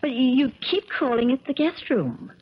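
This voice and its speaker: A woman's voice: sits high, big pitch swings, normal speed, husky, exaggerated voice. An actress playing a curious, uneasy young woman in a 1970s radio drama, speaking in a hesitant, questioning way. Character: curious, uneasy young woman visiting her mysterious uncle. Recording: crackly, muffled 1970s radio recording.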